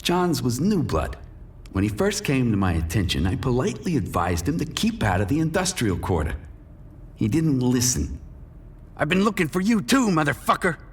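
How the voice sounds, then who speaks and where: A man's voice: mid-range voice, big pitch swings, normal speed, natural voice. An actor playing a bitter, menacing drug dealer who narrates his crime drama with cynical, profane threat. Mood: angry, threatening. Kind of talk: noir first-person scripted narration and dialogue.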